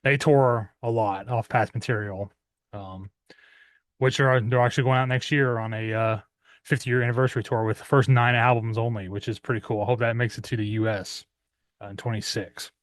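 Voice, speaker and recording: A man in his thirties casually showing off his metal music purchases at home, rambling with many "uh" fillers and clearing his throat often. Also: mid-range voice, fairly even intonation, moderate pace. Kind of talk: casual unboxing chat.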